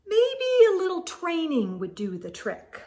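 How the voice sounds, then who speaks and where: A middle-aged woman reading a picture book aloud to young children, expressively, with dramatic pauses and exclaimed asides. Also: very high voice, huge pitch swings, warm tone. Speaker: children's storytime host. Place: small indoor room with bookshelves.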